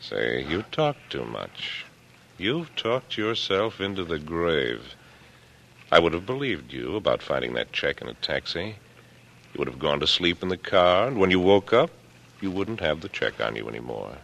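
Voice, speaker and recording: An actor playing a smug, calculating middle-aged killer in an old American radio drama, speaking in a taunting, deliberate, condescending way. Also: male voice, low voice, sing-song, gravelly, fairly bright tone, heavily acted. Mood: gloating menace. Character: coolly menacing older killer, smug, patronizing and calculating. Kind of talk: 1940s radio drama acting. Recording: noisy vintage radio broadcast recording.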